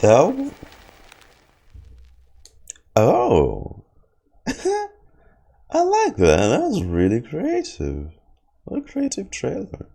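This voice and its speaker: A man's voice: a little high, wildly swooping pitch, drawn-out words, creaky, pauses often, mellow. A man in his twenties giving casual streamer reactions to a game, rambling and slangy with some swearing. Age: 20s.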